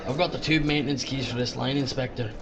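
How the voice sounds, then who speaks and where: An actor playing a cheeky, steady working-class tube maintenance man in a period audio drama, with a British accent and a folksy, deferential, wry manner. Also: mid-range voice, fast talker, a crowd in the background, close to an everyday voice. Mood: obliging.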